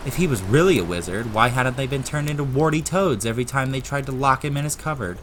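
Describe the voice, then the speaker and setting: A man delivers dramatic audiobook narration in a bright, forceful voice of medium pitch. Faint ambient effects sit behind the reading. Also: animated, fast, a little hiss or hum. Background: ambient sound effects.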